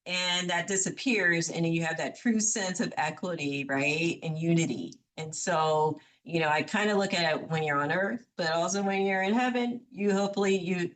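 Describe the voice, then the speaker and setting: A woman with a notably low voice answers an interview question. She speaks in a thoughtful, measured way, softening her points with small fillers. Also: low-quality recording. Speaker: interview guest.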